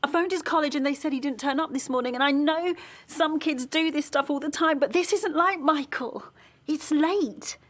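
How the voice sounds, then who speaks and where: A woman's voice: sits high, moderate pace, a bit bright. A woman playing an earnest, protective mother in an audio drama. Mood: anxious. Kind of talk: naturalistic audio drama acting.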